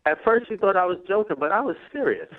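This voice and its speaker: A man's voice: high-pitched, expressive, fast talker. A self-assured, boastful man chatting casually as a caller to a radio show over the telephone. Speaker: phone-in guest on a radio show. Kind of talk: casual phone chat. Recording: thin narrowband phone line.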